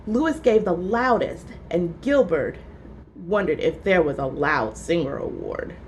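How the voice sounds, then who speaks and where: A woman storyteller reading a children's book aloud, expressive and clearly phrased. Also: on the low side, unhurried, a little hiss or hum.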